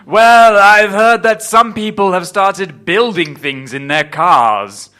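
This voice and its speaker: A man's voice: high voice, slow pace, sharp tone, clear, resonant tone, faint music behind, heavily acted. A man playing a flustered, earnest government minister in an improvised comic news interview.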